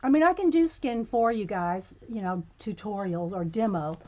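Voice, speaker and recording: A woman hosting a casual livestream, chatting straight to her viewers while she is losing her voice and sounds hoarse. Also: medium pitch, animated, normal speed, mellow, muffled recording. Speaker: livestream host.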